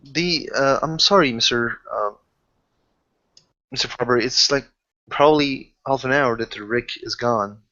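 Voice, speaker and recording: A man who haltingly searches for words as he improvises in a casual tabletop roleplaying session. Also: medium pitch, pushed and bright, lo-fi sound. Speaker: game master or player in a tabletop roleplaying session.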